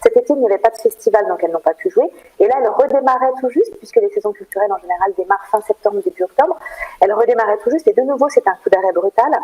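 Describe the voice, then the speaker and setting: A woman answering radio interview questions in an explanatory way, often pausing as she searches for words. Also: mid-range voice, lively intonation, mellow, breathy edge, poor audio. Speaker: theatre programme manager, interview guest.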